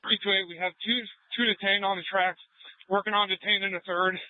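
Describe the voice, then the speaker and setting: A man's voice: high voice, steady tone, a little fast, no room sound. A male police officer giving status reports from the field over a police radio. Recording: tinny narrow-band police scanner audio.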